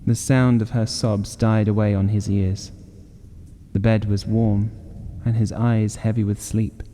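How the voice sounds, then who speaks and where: Male audiobook narrator for gothic horror: mellow, measured, dramatic, long reverb over music. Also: on the low side, little pitch movement, a little hiss or hum.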